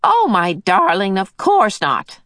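A woman's voice: medium pitch, huge pitch swings, no room sound, studio quality, exaggerated voice. A woman voicing a cheerful, motherly old otherworldly female being who soothes and fusses. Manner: bright and soothing, brisk.

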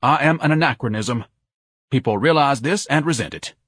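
A man's voice: medium pitch, normal speed, fairly bright tone, slightly rough, no room sound, studio quality, pitched above the performer's own voice. A man voicing a haughty, self-pitying grown son who declaims in grandiose, over-articulate phrases, as an audiobook character. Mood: disdainful.

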